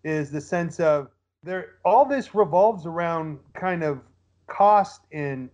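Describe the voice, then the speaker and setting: A man's voice: on the high side, expressive, takes it slowly, a little mellow. A man speaking as a podcast guest in casual, opinionated discussion, using rhetorical questions and mimicked quotes to argue his points. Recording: dull lo-fi audio.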